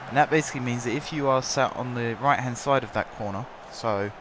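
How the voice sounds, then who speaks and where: A man's voice: mid-range voice, brisk, noisy background. A young man with a sore throat giving rambling, chatty commentary over a football management game. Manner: chatty, rambling, filler-heavy. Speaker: gaming commentator.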